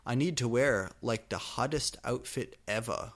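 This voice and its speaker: A man voicing a melodramatic, petulant teenage goth girl in a story reading, exclaiming loudly. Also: mid-range voice, measured pace, natural voice. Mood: excited.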